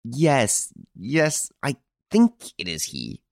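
An unsure prison guard voiced by a male narrator gives hesitant testimony in a courtroom story. His pitch sits well above the reader's deep narration, and the sound is dry. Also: animated, brisk, dry recording, natural voice.

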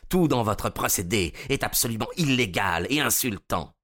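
Un homme jouant un espion allemand amer et vaincu. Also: male voice, fairly low pitch, lively intonation, fairly bright tone, pitched above the performer's own voice. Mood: indignant. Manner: slow, resolute, aggrieved. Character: bitter, defeated, indignant German spy, proud man.

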